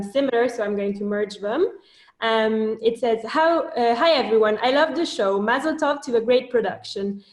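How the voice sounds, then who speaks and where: A woman moderates an online panel and reads out the audience's questions. Her voice sits fairly high and her delivery is measured, dotted with ums. The sound is roomy and echoey. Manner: measured, reading aloud with filler ums. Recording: echoey room.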